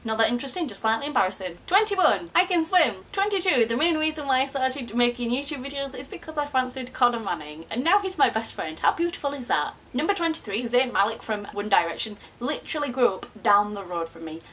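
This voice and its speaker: Young woman YouTuber, casual confessional tone, animated and self-deprecating, slightly high-pitched. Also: brisk, hardly any pauses, a little hiss or hum. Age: 20s. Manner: chatty, self-mocking, frequent swearing and big pitch jumps.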